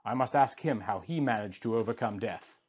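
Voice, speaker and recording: A man's voice: on the low side, brisk, darkish tone, slightly rough, pitched below the performer's own voice. An anguished wandering hero-king, performed by a male reader, mourns in grand, emphatic storybook style. His weariness and grief shape the declamation, heard through a slightly muffled, lo-fi recording. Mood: mournful. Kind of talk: dramatic audiobook reading of an ancient epic.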